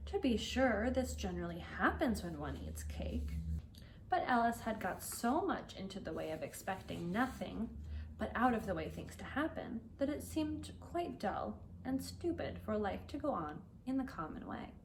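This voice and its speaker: Female English teacher and read-aloud host, expressive and careful in diction, faint noise behind. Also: mid-range voice, moderate pace, rough recording. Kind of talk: storybook read-aloud for language learners.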